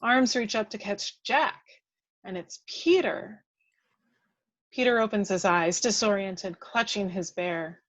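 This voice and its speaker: A woman's voice: medium pitch, slow pace, no room sound. A woman reading scripted stage directions as the narrator of an amateur table read.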